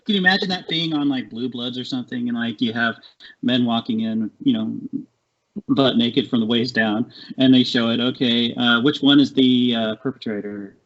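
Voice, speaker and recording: A man in his late forties talking as a podcast guest, halting with lots of fillers and restarts. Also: medium pitch, fairly bright tone. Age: 40s. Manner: halting, many fillers and restarts, laughs along. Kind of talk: casual chat. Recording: dull lo-fi audio.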